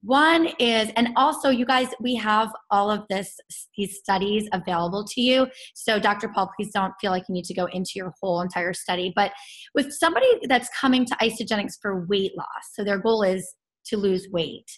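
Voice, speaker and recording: A woman's voice: medium pitch, normal speed. Friendly woman hosting a video call interview. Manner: friendly, rambling questions with fillers. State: sore throat. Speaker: interview host. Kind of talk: casual online interview. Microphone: computer on a video call.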